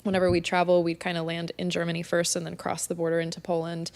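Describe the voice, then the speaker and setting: A woman's voice: lowish voice, completely flat delivery, fast, creaky, darkish tone. A woman from San Diego whose first language is Spanish, talking openly and reflectively as a podcast guest. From San Diego, California. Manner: reflective, open storytelling. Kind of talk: casual conversation.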